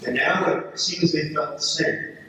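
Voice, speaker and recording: A man playing a wistful British First World War soldier, telling his letter home in an earnest, measured readers theater style. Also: a little high, bright, forceful tone. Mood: earnest wonder. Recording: echoey hall from far off, faint noise.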